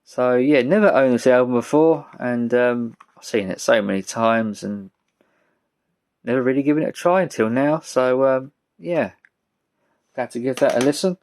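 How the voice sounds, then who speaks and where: A British man giving a casual, rambling show-and-tell as a vlogger, speaking in a halting, low-key way. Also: a little mellow. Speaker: vlogger showing a music collection.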